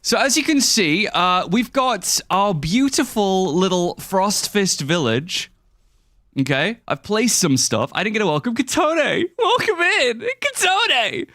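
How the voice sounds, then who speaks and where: Goofy young streamer man, bouncy pitch. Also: very animated, measured pace, sharp tone, no echo, clean studio sound. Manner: goofy, playful, silly mispronunciations and shifting voices. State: slightly sniffly, stuffy-nosed. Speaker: livestreamer. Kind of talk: casual stream chat, greeting chat and playing a game.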